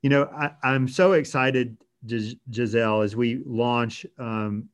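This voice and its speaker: A man hosting a conversational interview, speaking in measured sentences with some restarts and filler "um"s. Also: medium pitch, fairly even intonation. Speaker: host from a hospice organization.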